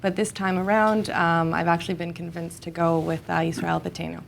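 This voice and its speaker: A woman from Canada speaking as a guest on a news panel, in a low, gravelly voice full of fry. She hesitates and searches for words, with faint background noise behind her. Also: flat delivery, fairly quick. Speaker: studio guest. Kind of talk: casual interview chat.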